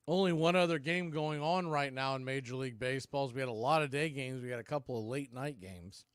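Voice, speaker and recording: An American man doing live baseball play-by-play in clipped, punchy calls with pauses, mixed with casual fan chat. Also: medium pitch, clean tone, dry recording, clean studio sound.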